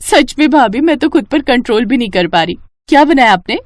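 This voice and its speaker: A cheerful young sister-in-law gushes in a family storybook, as a woman narrator puts her on. Her voice goes high and lively. She sounds bubbly and eager. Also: a little fast, dry recording, close to an everyday voice. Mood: excited.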